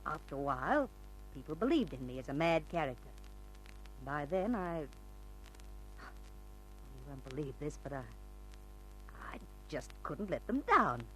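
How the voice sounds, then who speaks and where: A woman's voice: deep voice, sing-song, unhurried, long pauses, rough recording. An actress playing a brash, slangy, eccentric woman with a shrewd side in an old-time radio drama. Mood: amused, confiding. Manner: slangy, bold, theatrical. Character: brash eccentric older woman, street character secretly shrewd and refined. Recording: old radio recording with music.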